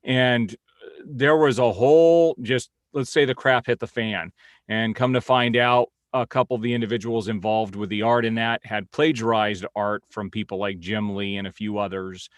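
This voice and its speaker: A man's voice: lowish voice, steady tone, normal speed, no room sound, clean studio sound. A man hosting an informal news update and opinion vlog, speaking in a blunt, opinionated way. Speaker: host of a comic-book film news vlog.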